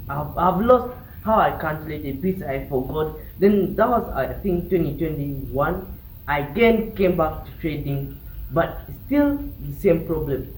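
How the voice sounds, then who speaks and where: A man's voice: on the high side, darkish tone. Young South Sudanese man, distant echoey recording. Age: young adult. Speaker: vlogger telling his trading journey. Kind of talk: personal storytelling to camera. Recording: distant mic, roomy echo, background hiss.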